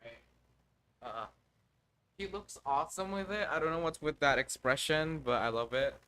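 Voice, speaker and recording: A man live-streaming a game, thinking aloud in a casual, halting way with some mild swearing. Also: on the high side, sharp tone.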